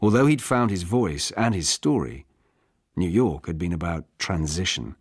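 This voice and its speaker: A man's voice: deep voice, animated, moderate pace, slightly rough, dry recording. A British man reading literary fiction as an audiobook narrator in a studio. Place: dry studio.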